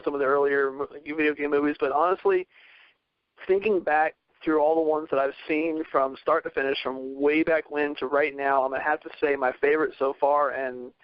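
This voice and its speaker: Man, slightly high pitch, opinionated guest, long-winded, dry lo-fi sound. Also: no echo. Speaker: returning panel guest. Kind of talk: casual opinionated discussion.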